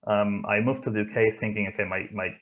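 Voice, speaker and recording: A man from Spain with a Spanish accent, giving reflective interview answers in a thoughtful, hesitant way with frequent pauses. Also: lowish voice, steady tone, phone-quality sound. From Barcelona area, Spain. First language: not English, from Spain. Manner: hesitant, thoughtful, pausing often. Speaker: interview guest, motorsport engineer.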